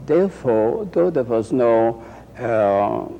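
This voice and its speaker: Slow older man. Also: medium pitch, lively intonation, mellow. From Poland. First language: Yiddish. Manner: slow, halting, with frequent pauses and restarts. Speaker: interviewee. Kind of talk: recorded oral-history reminiscence. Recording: roomy archival tape with faint hiss.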